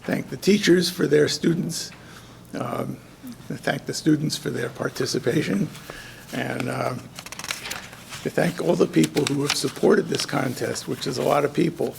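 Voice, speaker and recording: A hoarse man organizing a contest gives halting welcome remarks at a ceremony, with many ums and restarts. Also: a little high, a little hiss or hum. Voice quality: gravelly. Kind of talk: informal ceremony speech. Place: community event hall.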